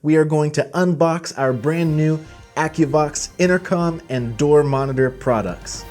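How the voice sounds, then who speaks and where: A man's voice: a little high, a little slow, a little mellow, soft background music. A man hosting a product video, walking through gear in a casual presenting style. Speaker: host of a product channel.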